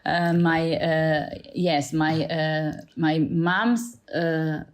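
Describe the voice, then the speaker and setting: A woman's voice: low voice, steady tone, very slow. A middle-aged Croatian woman with a Croatian accent, a school librarian answering podcast questions in English with many fillers and restarts, recorded in a school library. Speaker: school librarian and Italian teacher, guest. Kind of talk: podcast interview.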